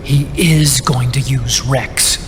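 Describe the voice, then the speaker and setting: A man's voice: mid-range voice, steady tone, takes it slowly, background noise, close to an everyday voice. An actor playing an earnest, pensive male tech expert in a video game, speaking hesitantly. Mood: somber, pensive. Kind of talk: cinematic video game dialogue.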